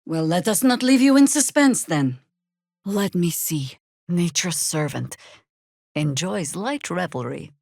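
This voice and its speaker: A woman's voice: fairly low pitch, lively intonation, takes it slowly, vocal fry, a little mellow, no echo, heavily acted. A woman voicing a seasoned, wry, battle-hardened druid veteran in a fantasy video game, speaking in a dry, world-weary, commanding way. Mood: teasing.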